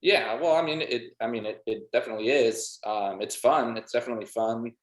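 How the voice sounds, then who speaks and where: A man's voice: mid-range voice, brisk. A man who makes music, chatting casually as an interview guest in a roomy, echoing recording. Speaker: band member and music producer, interview guest. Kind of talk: casual chat. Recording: roomy, echoing room sound.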